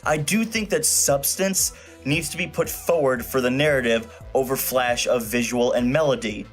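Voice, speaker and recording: A man with a slightly high voice delivers a scripted review. He is opinionated and colloquial, leaning on words for emphasis. Faint music plays behind him. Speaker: video movie reviewer. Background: background music.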